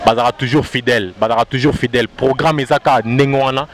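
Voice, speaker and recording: A man's voice: mid-range voice, fairly even intonation, quick pace, crowd noise. A man with a Congolese French accent, a musician guest chatting casually outdoors at a station, mixing Lingala into his French and often repeating his phrases. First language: Luba. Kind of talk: casual interview chat. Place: outdoors at a busy central station.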